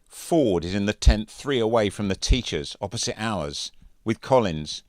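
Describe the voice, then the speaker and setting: A man's voice: on the low side, animated, a little slow, no room sound, clean studio sound, voice pushed up. Man voicing a scornful, taunting teenage schoolboy bully. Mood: bossy, scheming. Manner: taunting, emphatic. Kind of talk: audiobook character voice.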